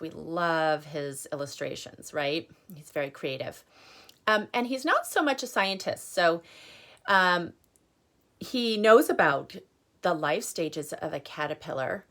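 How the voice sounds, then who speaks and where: A woman teacher reading aloud to children, with expressive emphasis and instructive explanatory asides. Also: on the low side. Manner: instructive, checks in with listeners.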